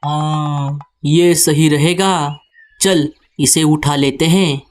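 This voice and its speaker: Woman doing a low-voiced greedy vulture sidekick. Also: drawn-out words. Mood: agreeable. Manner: excitable, exclamatory. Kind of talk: Hindi children's cartoon dialogue.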